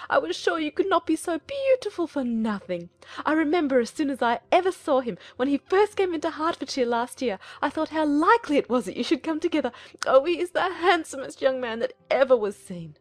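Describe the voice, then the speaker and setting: A woman playing an excitable, fussy middle-aged mother in a dramatized period audiobook, exclaiming effusively. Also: high-pitched, dry recording.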